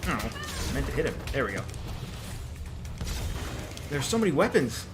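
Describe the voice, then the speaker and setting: A man's voice: mid-range voice, big pitch swings, moderate pace. A man reacting casually as he plays a co-op video game. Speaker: co-op gamer. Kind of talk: casual reactive gameplay chat. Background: game music.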